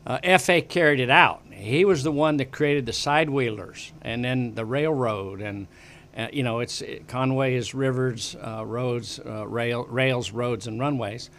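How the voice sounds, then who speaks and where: An older man sits at a broadcast mic in a small studio, recounting family and local history. He talks casually and meanders, restarting phrases often. His voice sits at an ordinary middle pitch. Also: a bit bright, slight background noise. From western United States. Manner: rambling, many restarts and fillers. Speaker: guest. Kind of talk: casual interview storytelling. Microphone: desk broadcast mic on boom arm.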